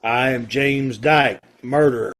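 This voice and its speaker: A man's voice: medium pitch, measured pace, fairly bright tone. A man acting a stubborn, unrepentant condemned murderer in an old-time radio play, plain-spoken and stoic. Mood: weary, polite. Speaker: amateur radio-troupe actor.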